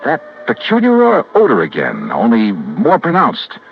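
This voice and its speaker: An American actor playing a hard-boiled investigator in a 1950s radio drama, sharp-eyed and quick to protest. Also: male voice, mid-range voice, wildly swooping pitch, vocal fry. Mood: wry narration. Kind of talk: 1950s radio drama acting and noir narration. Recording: muffled, noisy vintage radio recording.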